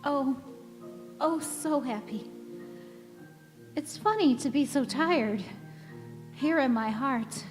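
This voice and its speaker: An actress playing a gentle, devoted elderly wife and mother in a stage radio play, wistful and serenely weary. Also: high voice, slow, long pauses. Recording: echoey hall, music behind.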